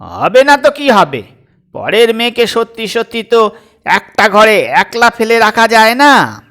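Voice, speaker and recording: A man voicing a stern, fussy, suspicious middle-aged father in a comic audio story, loud and insistent. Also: sits high, sing-song, measured pace, voice pushed up, heavily acted. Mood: exasperated.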